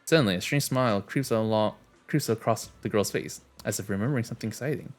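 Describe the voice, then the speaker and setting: A man's voice: lowish voice, fast talker, soft background music. A man streaming himself reading a game's story aloud, casual and chuckling between lines.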